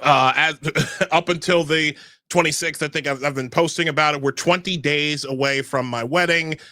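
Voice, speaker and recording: A man's voice: on the high side, fairly even intonation, normal speed, bright, forceful tone, dry recording, studio quality. A young adult man hosting a live podcast, speaking energetically with frequent filler sounds as he runs announcements and introductions. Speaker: show host.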